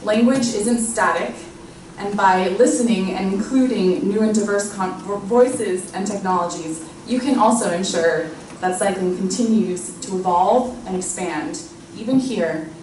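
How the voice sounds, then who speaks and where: A woman gives an earnest prepared talk and restarts a word here and there. She sounds far from the mic. A big echoing hall and clear background noise surround her voice. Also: mid-range voice, measured pace, darkish tone. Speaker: presenter. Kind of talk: short scripted talk.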